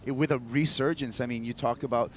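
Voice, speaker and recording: A man's voice: mid-range voice, steady tone, quick pace, a little hiss or hum. A man from Hawaii commenting on a surf contest, talking in an easygoing, chatty way. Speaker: broadcast commentator.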